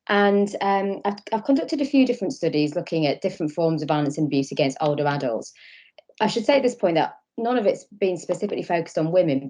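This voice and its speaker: A woman in her thirties from England, giving an online conference talk over a video call with a croaky voice from a cold, speaking hesitantly with many fillers. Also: low voice, warm tone.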